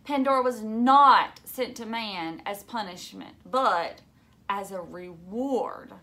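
Woman doing an anxious stubborn storybook schoolgirl, bright voice, prim n overwrought. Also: a little high, takes it slowly. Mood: prim, insistent.

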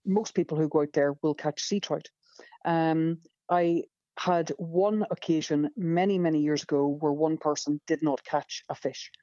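A woman's voice: low voice, fairly even intonation, a little slow, dark tone, no room sound, muffled recording. A woman with a Northern Irish accent, a fishing guide telling stories as a podcast guest, enthusiastic and rambling with many fillers. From Northern Ireland.

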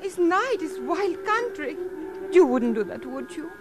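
A woman's voice: high voice, very animated, unhurried, over music. An actress playing a pleading, saucy young stowaway woman with a Spanish accent in a western radio drama. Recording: noisy old radio recording.

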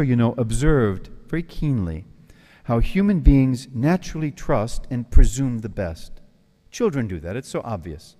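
An American priest in his sixties from New York City, giving an animated retreat talk at a lectern in a large hall. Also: mid-range voice. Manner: animated, gestures with both hands, tells jokes. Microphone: stand mic at the lectern. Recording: long hall reverb.

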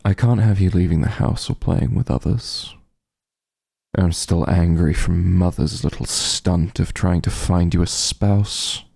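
Guy acting as doting but menacing male vampire mob heir, asmr rp, slow teasing drawl, husky, super close dry sound. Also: low voice, mellow, no echo, heavily acted. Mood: possessive, coaxing. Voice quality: husky, gravelly. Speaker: ASMR roleplay performer. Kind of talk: intimate ASMR roleplay acting.

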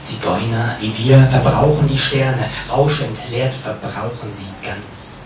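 A man gives a live poetry reading in a solemn, measured manner, with pauses and phrases that fall away at their ends. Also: mid-range voice. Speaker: poet reading own work. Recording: roomy, distant, noisy live recording.

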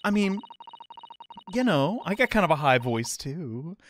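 A man's voice: on the high side, very animated, unhurried, a bit bright, a little hiss or hum. A man giving casual let's play commentary, rambling and self-deprecating while reading game text aloud.

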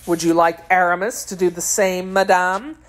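A woman's voice: on the low side, steady tone, moderate pace, lightly acted. Casting: woman reader as young male gallant, under twenty, persistent, earnest, courtly audiobook delivery. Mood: jealous.

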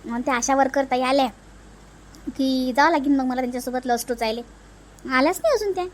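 A woman's voice: sits high, brisk, lightly acted. A woman voicing an anxious young first-time mother in a comedy cartoon, fretful and pleading, with a Vidarbha Marathi accent. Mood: casual. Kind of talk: comedy cartoon family dialogue.

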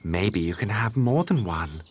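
A man playing a gentle, patient grown-up of a royal household in a children's cartoon. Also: on the low side, very animated, unhurried, husky, exaggerated voice.

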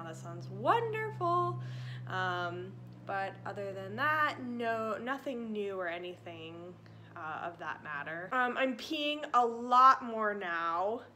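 Bright-voiced young woman, chatty and breathless. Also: mid-range voice, a little hiss or hum. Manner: chatty, many fillers and likes. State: short of breath. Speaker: vlogger. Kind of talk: casual pregnancy update. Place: living room by a stone fireplace.

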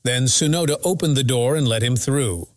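A man's voice: mid-range voice, normal speed, noisy background. A man reading a scripted race recap as the narrator of a motorsport news channel.

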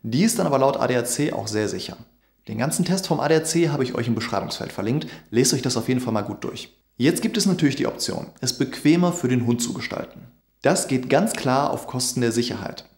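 A veterinarian in his thirties talks straight to camera in a scripted explainer, earnest and emphatic. His voice sits at an ordinary mid pitch. The room gives it a noticeable echo. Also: a little mellow. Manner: earnest, emphatic, gestures with hands. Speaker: veterinarian YouTuber. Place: dim home studio with hanging bulbs. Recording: roomy echo.

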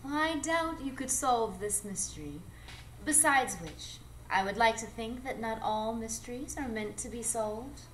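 A woman playing an alluring, ageless, mysterious adventuress in a live radio drama, speaking in a measured, coy, theatrical way. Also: a little high, animated. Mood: coy, teasing. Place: live performance space before an audience. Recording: noisy live stage recording.

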